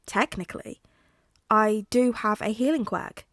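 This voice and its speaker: Woman voicing calm dad, wry, measured, medium pitch, dry close sound, story reading. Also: no echo. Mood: matter-of-fact. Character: calm, wry father with secret powers.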